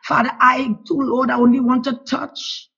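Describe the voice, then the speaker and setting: A woman's voice: sits high, normal speed, gravelly, breathy edge. A woman from Trinidad with a Trinidadian accent, leading a fervent spoken prayer that keeps returning to "Lord" as she pleads. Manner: fervent and pleading, with "Lord" repeated in a steady rhythm. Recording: dull lo-fi recording.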